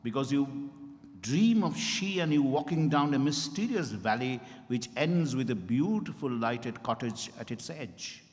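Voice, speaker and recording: An actor playing an insistent, smoothly persuasive male doctor and counsel in a formal, theatrical stage reading. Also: mid-range voice, animated, a little slow, natural voice. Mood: probing, persuasive. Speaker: stage reader in a dramatized reading. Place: event hall at a hotel, live stage reading. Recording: echoey hall with long reverb.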